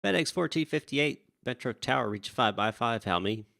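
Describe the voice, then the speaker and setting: A man's voice: mid-range voice, animated, normal speed, vocal fry, fairly bright tone. A man working as a virtual air traffic controller on a live stream. He chats casually and drifts off mid-thought, then switches to polite radio calls to pilots. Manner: chatty, easily distracted, wanders off mid-thought.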